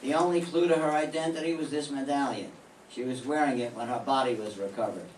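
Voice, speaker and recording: A man's voice: medium pitch, fairly even intonation, brisk, a little mellow, close to an everyday voice. An older man playing a dry, sardonic, hard-boiled male private detective who also narrates, in an amateur 1940s-style radio play. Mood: questioning. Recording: echoey room from far off, faint hiss.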